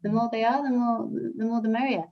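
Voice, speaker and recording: A woman on a panel discussion, talking in an animated way and often repeating words as she thinks. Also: fairly high pitch, quick pace, highs cut off. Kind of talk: video call panel discussion.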